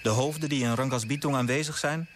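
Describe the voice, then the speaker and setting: A man's voice: medium pitch, fast, slight background noise, natural voice. A man playing an earnest young storyteller who narrates a colonial tale vividly in a radio play. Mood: vivid, measured. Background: music under the voice.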